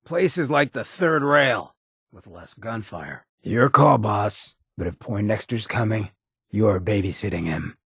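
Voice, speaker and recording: A roguish ghoul mayor from a video game, with a heavily husky, shredded rasp. He talks in a sly, wry, streetwise drawl full of swagger. His lowish pitch swings around expressively from phrase to phrase. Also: lively intonation, measured pace, fairly bright tone, dry recording, studio quality, heavily acted. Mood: sardonic. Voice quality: raspy, gravelly. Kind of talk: video game character dialogue.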